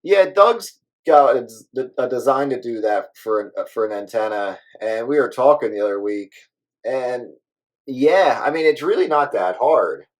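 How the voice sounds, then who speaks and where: A man's voice: medium pitch, animated, measured pace, low-quality recording. A man chatting casually as a hobby radio operator, rambling along in an easygoing way.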